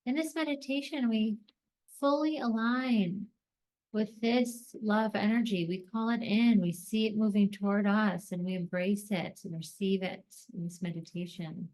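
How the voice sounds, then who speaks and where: A woman leading a guided meditation, speaking gently in deliberate short phrases with long pauses between them. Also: mid-range voice, steady tone, rough recording.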